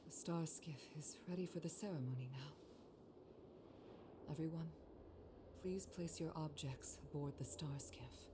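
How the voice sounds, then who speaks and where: Grave low voiced lady general from a game, proud n formal, noisy ambience behind. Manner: formal, measured, grave delivery. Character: solemn female military commander, proud, grieving, resolute. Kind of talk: scripted video game acting.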